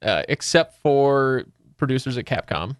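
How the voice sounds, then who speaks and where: A man's voice: mid-range voice, animated, a little slow. A man hosting a podcast in a casual, jokey, bantering chat style. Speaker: podcast host.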